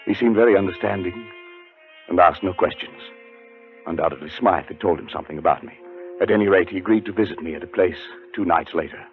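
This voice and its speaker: Deep-voiced haunted man, old radio. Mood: sheepish, subdued. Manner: dramatic, deliberate, halting when anxious. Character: haunted, frightened male scholar recounting his terror. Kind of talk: 1940s radio acting, first-person suspense narration. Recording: muffled 1940s radio broadcast with music.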